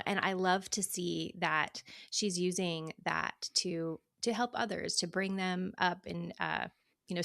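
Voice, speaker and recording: A woman's voice: lowish voice, creaky, fairly bright tone, no echo, clean studio sound. A woman co-hosting a podcast, chatting about books in a casual, reflective, conversational way. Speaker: podcast co-host. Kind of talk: casual book discussion.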